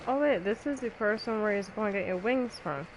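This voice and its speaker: A woman's voice: medium pitch, expressive, brisk, background noise. A woman making casual gameplay commentary, informal and reactive, often talking to herself. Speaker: gaming video creator.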